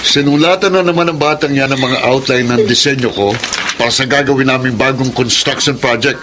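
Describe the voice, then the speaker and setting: A man's voice: mid-range voice, a little fast, background noise, close to an everyday voice. A man playing a harsh, resentful father in a Filipino radio drama, speaking with cold, blunt scorn and a Filipino accent. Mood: angry, fed up.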